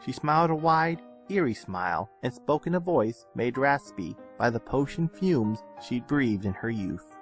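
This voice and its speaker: A man reading a fan fiction story aloud in an expressive, dramatic storytelling manner. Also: medium pitch, measured pace. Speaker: audiobook-style reader of fan fiction. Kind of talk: scripted story narration. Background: background music.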